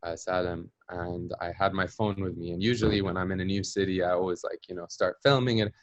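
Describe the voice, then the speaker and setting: A man's voice: deep voice, fairly even intonation, a little fast, no echo, muffled recording. A man with an American accent, telling a personal story as an interview guest, reflective and searching for words with frequent fillers. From Palestinian diaspora. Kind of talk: conversational storytelling.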